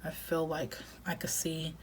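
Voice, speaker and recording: A woman in her thirties chatting casually while decorating a planner, reflective and talkative, often dropping into soft half-whispers, her voice going a little raspy from a long day of recording. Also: low voice, completely flat delivery, a little slow, background noise. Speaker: planner vlogger. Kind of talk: casual plan-with-me chat.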